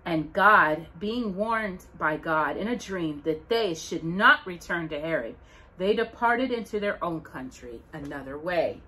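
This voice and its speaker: A middle-aged woman hosting a children's storytime, reading aloud in an expressive, deliberate, dramatic way with emphatic pauses. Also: lowish voice, slight background noise, low-quality recording. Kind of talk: expressive read-aloud of Bible verses and a children's Christmas book. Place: living room at home, indoors by a brick fireplace.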